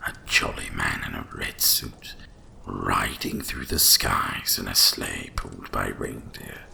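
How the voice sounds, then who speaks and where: A man voicing an ancient, cursed winter dragon in a hushed ASMR fantasy monologue, with a gravelly, breathy rumble and slow, mysterious storytelling. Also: heavily acted. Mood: conspiratorial, knowing. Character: ancient cursed male winter dragon, wise, once proud, brooding.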